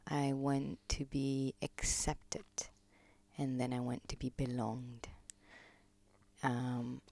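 A woman, a podcast guest reflecting on her life in a slow, hesitant way, pausing often. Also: extremely low pitch, flat delivery. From Malaysia. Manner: slow, hesitant, many pauses and ums, soft-spoken. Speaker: podcast guest, gong practitioner. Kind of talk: reflective personal interview talk.

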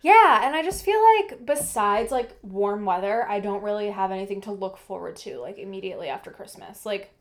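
A woman's voice: medium pitch, expressive, a little fast, hardly any pauses. A young woman co-hosting a casual podcast, chatty and rambling, with lots of "like" and "um.". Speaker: podcast co-host. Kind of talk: casual chat.

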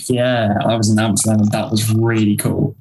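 A man's voice: on the low side, level tone, normal speed, a little mellow, faint music behind, low-quality recording. A man with an English accent co-hosting a podcast in casual, joking chat with a self-deprecating streak. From England. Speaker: podcast co-host.

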